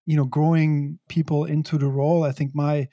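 A middle-aged man from Belgium, speaking as an interview guest in a reflective, measured way with occasional laughs. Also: on the high side, flat delivery, dark tone, no echo. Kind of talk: conversational interview.

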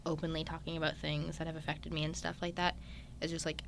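A young man, a guest in a casual chat, talking openly and at length with lots of fillers and animated retellings. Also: a little high, quick pace, creaky, a little hiss or hum. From Newfoundland, Canada. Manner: chatty and open, many "like" fillers, animated retellings.

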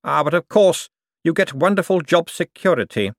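Smug, moneyed male bank director for an audiobook, read by a male narrator: suave menace, pitched up, very lively intonation. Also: no echo, studio quality. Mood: veiled threat. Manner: suave, condescending, drawn-out hints. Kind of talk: audiobook character dialogue.

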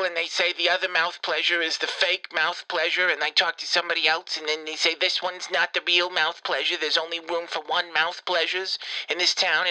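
A vain, bossy elderly grandmother, put on by a man rambles through a long phone message, tripping over restarts and swearing when she gets worked up. The voice sits a bit high and rings very bright and forceful. It has a radio-like sound. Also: rough recording. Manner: rambling, stammering restarts, exasperated cursing. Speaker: comedian doing a character voicemail. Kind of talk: improvised comedic phone message.

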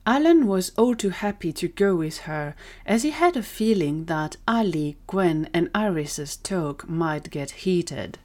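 A woman's voice: lowish voice, lively intonation, measured pace, hardly any pauses, a little mellow. A woman narrating a scripted audio drama story as the storyteller.

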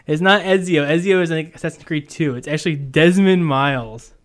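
A man's voice: a little high, brisk. A man hosting a casual call-in quiz podcast, chatting informally. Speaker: podcast host taking call-ins.

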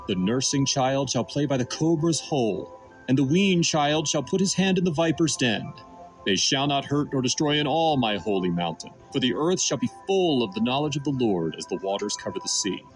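A man's voice: mid-range voice, rough recording. A man giving scripted religious narration and scripture reading with emphatic, declarative delivery. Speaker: narrator of Bible teaching. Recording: music under the voice. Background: background music.

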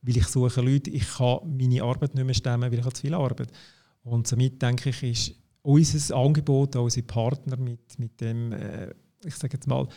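A man's voice: mid-range voice, little pitch movement, normal speed, dark tone. A man with a Swiss German accent explaining company services in a business conversation, often hesitating and restarting sentences. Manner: hesitant, many fillers and restarts, "ich sage jetzt mal". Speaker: company representative for education and partners.

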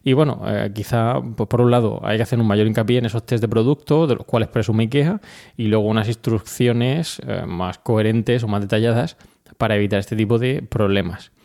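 A man's voice: lowish voice, brisk, vocal fry, a little mellow. A man with an accent from Spain hosting an informal solo podcast, explaining things and speaking directly to his listeners. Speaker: podcast host.

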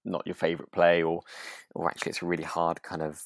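A British man co-hosting a relaxed podcast, chatting casually and hesitantly, repeating words as he thinks aloud. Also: sits low, fast, no echo, clean studio sound. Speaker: podcast co-host and actor.

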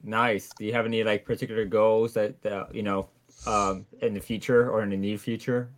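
A man's voice: lowish voice, level tone, moderate pace. A man hosting a casual community Q&A, upbeat and chummy, calling guests buddy and man. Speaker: host.

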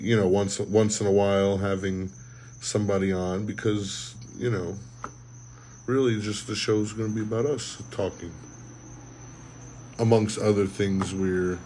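A man's voice: sits low, darkish tone, a little hiss or hum. A man co-hosting a casual podcast, rambling with many fillers and trailing off. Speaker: podcast co-host. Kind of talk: casual chat.

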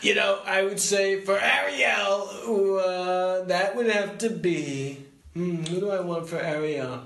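A man's voice: sits high, takes it slowly, gravelly, fairly bright tone, heavily acted. A man playing a boastful older president in improvised comedy banter, pompous, rambling and repeating himself, in an echoey room. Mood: self-satisfied. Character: boastful older male president, parody of a real politician.

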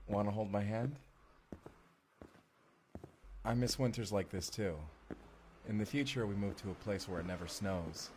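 A man's voice: on the low side, a little fast, background noise, natural voice. A man playing an affectionate, teasing husband from the future in an intimate audio roleplay. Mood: tender, teasing.

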